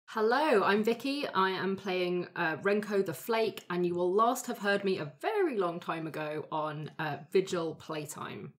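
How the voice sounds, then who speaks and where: A woman's voice: on the low side, a little slow, few pauses. A woman speaking casually as a player on a role-playing podcast. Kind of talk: casual panel chat.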